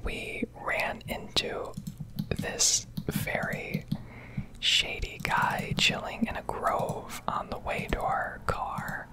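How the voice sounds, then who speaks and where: A woman's voice: slow pace, poor audio. Woman doing whispered asmr gaming ramble, swears casually, right up on the mic. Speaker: game streamer. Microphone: close microphone.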